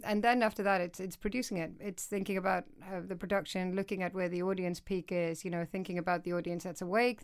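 A woman, answering interview questions in a studio. Her voice sits low and sounds smooth and resonant. She talks thoughtfully, often restarting a sentence or breaking into a brief laugh. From Iceland. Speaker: guest, news executive.

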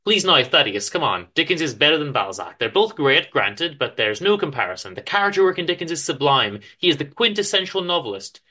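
A man's voice: on the high side, sing-song, brisk, hardly any pauses, bright, forceful tone, exaggerated voice. A man voicing a cheerful, chatty young book lover with an enthusiastic, slightly flourished way of talking in a story reading. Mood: enthusiastic, teasing.

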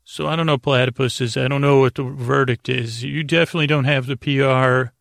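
A man hosting a bedtime podcast in a gravelly voice, rambling haltingly and repeating words as he drifts into goofy, gently silly tangents. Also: medium pitch, fairly even intonation, no echo, studio quality. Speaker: host of a bedtime sleep podcast. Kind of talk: rambling, meandering bedtime monologue.

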